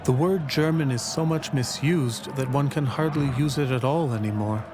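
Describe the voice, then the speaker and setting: A man voicing an older male professor, reading a formal letter slowly and deliberately for a documentary. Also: medium pitch, noisy background, natural voice. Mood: earnest. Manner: slow, measured, formal. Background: clear music.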